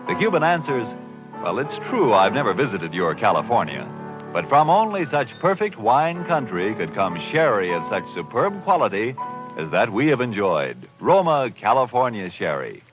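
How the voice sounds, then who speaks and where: A man's voice: medium pitch, poor audio. A man reading a scripted 1940s radio advertisement in a polished, emphatic selling manner. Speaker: commercial announcer. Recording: 1940s radio broadcast, slightly rough.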